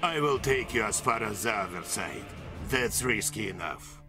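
A man playing a gruff, cynical river boatman in a video game, talking bluntly and wryly. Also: lowish voice, lively intonation, normal speed, music in the background. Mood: wary. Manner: blunt, wry. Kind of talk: video game cutscene acting.

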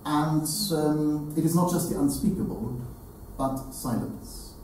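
An older man giving a formal tribute to an audience, speaking deliberately and haltingly, repeating phrases for emphasis. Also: fairly high pitch, dark tone. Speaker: event speaker. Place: hall with seated audience, indoors. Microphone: distant camera. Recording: very echoey room, distant mic, slight noise.

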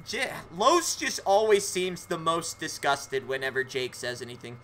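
A man's voice: a little high, a little slow. A young man with a lisp, chatting casually and jokingly as he live streams a video game, swearing mildly. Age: young adult. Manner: animated, joking, mildly profane. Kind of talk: casual livestream chat with viewers. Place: indoor room at home.

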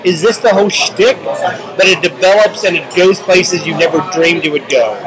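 A man's voice: high-pitched, moderate pace, crowd noise. Young man chatting in a noisy bar. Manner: chatty, playful banter. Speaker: co-host. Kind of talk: casual review chat. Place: busy restaurant bar at night. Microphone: camera held close at the table. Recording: loud bar music behind.

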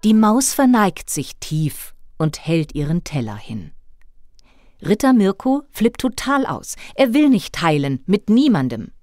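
A woman reading a scripted children's story as narrator, with expressive storytelling delivery. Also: mid-range voice, unhurried.

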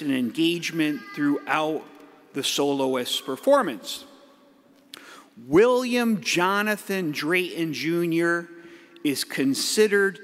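Middle aged priest preaching slow at the pulpit, kinda high voice, long church echo. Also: male voice. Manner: playful, with hype-man shouts. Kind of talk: homily from the pulpit. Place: large church. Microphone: pulpit mic. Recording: echoey church hall.

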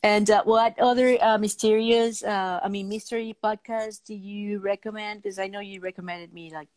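A woman's voice: medium pitch, fairly even intonation, moderate pace, darkish tone, no room sound. A middle-aged woman hosting a casual interview over a video call, often searching for her words. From Venezuela. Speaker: talk show host. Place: indoor room, remote video call.

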